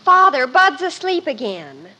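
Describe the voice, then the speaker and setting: Casting: girlish teen daughter, old-time radio comedy, very high, bright, easily mortified. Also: sing-song, unhurried, exaggerated voice, poor audio. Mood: mildly put-upon. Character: dramatic teenage daughter, earnest and easily mortified. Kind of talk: 1950s radio family comedy acting.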